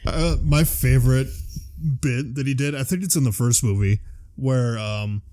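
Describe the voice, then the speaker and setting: A male podcast co-host with a mid-pitched voice chats casually and informally. He talks with the animated enthusiasm of a devoted fan and swears freely. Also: moderate pace, smooth. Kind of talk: casual chat about video game movies.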